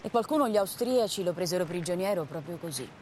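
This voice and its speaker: An actress performing as a grave storyteller of a wartime retreat, in measured, solemn literary narration. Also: female voice, lowish voice, lively intonation, darkish tone, slight background noise, lightly acted. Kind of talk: literary scripted narration of war history.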